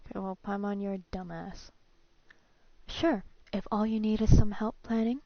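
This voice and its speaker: A woman's voice: mid-range voice, fairly even intonation, moderate pace, muffled recording. A woman streaming a game, casually reading dialogue choices aloud and adding blunt, flippant remarks.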